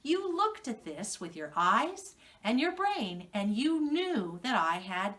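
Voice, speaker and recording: Female preschool teacher, American, unhurried and highly animated storytelling for little learners, heard slightly away from the mic. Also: recorded from a distance. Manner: instructional, question-and-prompt delivery for children. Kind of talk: reading lesson and storytelling for young children.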